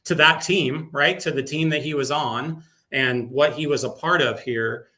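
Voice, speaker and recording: Male sports announcer as interview guest, bright forward voice, casual, emphatic storyteller. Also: mid-range voice, measured pace.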